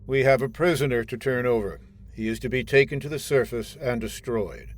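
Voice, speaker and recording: A man's voice: medium pitch, steady tone, fairly quick, natural voice. A man playing a cold, unyielding army colonel, formal and authoritative, in old-time radio drama style. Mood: commanding.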